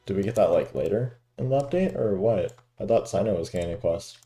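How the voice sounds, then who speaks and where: A man's voice: on the low side, expressive, a little fast, dark tone, faint music behind. A man giving casual gameplay commentary, muttering to himself as he thinks aloud. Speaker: video game streamer.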